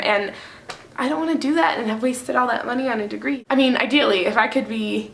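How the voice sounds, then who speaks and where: A young woman from France, chatting casually to camera at home in a bubbly, talkative way. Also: a little high. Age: young adult. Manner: bubbly, chatty, quick bursts of excitement. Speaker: vlogger.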